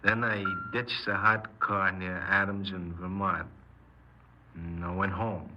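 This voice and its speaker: A man's voice: sits low, little pitch movement, slow pace, dull audio. An actor playing a cold, boastful, menacing criminal confessing his robberies in a 1950s radio crime drama. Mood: smug, recounting. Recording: noisy 1950s radio recording.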